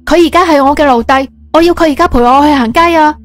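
A woman's voice: high voice, fast, faint music behind, lightly acted. An actress playing a bossy, jealous young woman in an audio drama, commanding and defiant. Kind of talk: Cantonese audio drama acting.

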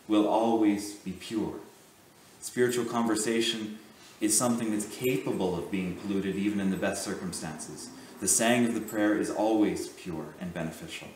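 A man giving a sermon as a priest, speaking in a measured, earnest way with deliberate pauses. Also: fairly low pitch, reverberant, recorded from a distance, slight background noise, low-quality recording.

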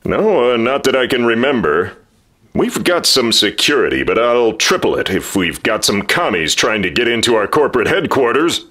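A man's voice: mid-range voice, sing-song, normal speed, pushed and bright. An actor playing a blustering, impatient company chief in his fifties in a 1950s-style radio drama. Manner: blustering, boastful, brusque. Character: brash, impatient corporate boss in his fifties.

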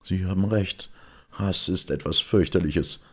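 A man's voice: low voice, unhurried, fairly bright tone, voice pushed up, exaggerated voice. A man voicing a shaken, earnest man in an audiobook, speaking with emotion. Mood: shaken, relieved. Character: shaken, earnest man relieved of his hatred.

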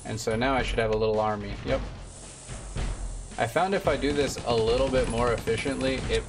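A man's voice: medium pitch, brisk, recorded from a distance. A young man giving casual, laid-back gameplay commentary as a streamer, explaining things informally. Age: young adult. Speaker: video game streamer. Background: loud game music and gunfire.

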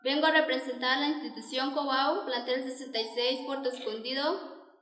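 A young woman whose first language is Zapotec recites a poem from a stage in slow, emphatic declamation. Her voice sits high and is taken from far off through a stand mic. It rings with the long, roomy echo of a big auditorium. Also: steady tone, fairly bright tone, slight background noise. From Oaxaca, Mexico. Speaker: student orator. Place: large indoor auditorium stage. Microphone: stand mic, filmed from far off. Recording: far-off roomy hall recording.

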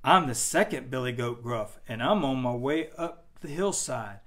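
A man's voice: medium pitch, animated, moderate pace, a bit bright, lightly acted. A man voicing a meek, earnest, middle-sized billy goat in a children's story.

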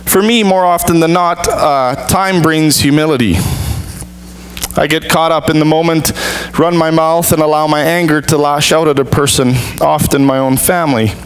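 A man in his thirties, a pastor, preaching an earnest sermon with measured, deliberate pauses from a church stage. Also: a little high, steady tone, clean tone, poor audio.

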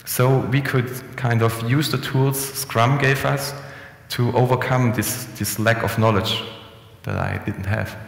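Young man, easygoing conference speaker, gravelly voice, echoey room sound. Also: mid-range voice, a little slow, hardly any pauses. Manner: relaxed, conversational, frequent restarts and fillers. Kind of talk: conference presentation. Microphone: headset mic. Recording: echoey hall with long reverb.

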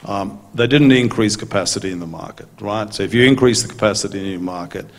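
A middle-aged man on a conference panel, speaking as a maintenance company executive in a measured, businesslike way into a handheld mic. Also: lowish voice. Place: large conference hall stage. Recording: long hall reverb.

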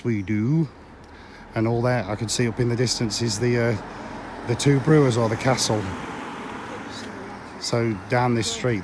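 Hoarse middle-aged male vlogger, low-voiced, chatty and rambling, phone camera outdoors. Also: background noise. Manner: rambling, chatty, with frequent ums. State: hoarse, losing his voice from a cold. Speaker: vlogger touring filming locations. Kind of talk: casual walking tour chat. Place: outdoors on small town streets.

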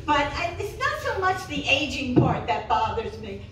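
High-pitched older woman doing echoey stand-up. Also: sing-song. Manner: bawdy, self-deprecating, punchy delivery with drawn-out pauses. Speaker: stand-up comedian. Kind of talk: live stand-up comedy routine. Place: small cat cafe room with audience, indoors. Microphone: handheld microphone, recorded by camera from audience. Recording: roomy, echoey, distant mic, some room noise.

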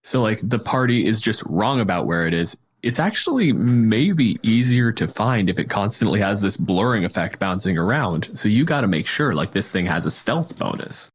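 A man with a fairly low voice speaks in a casual, conversational style. He explains his thinking like a podcast host in an informal discussion. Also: expressive, moderate pace, few pauses, breathy edge. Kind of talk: casual tabletop game discussion.

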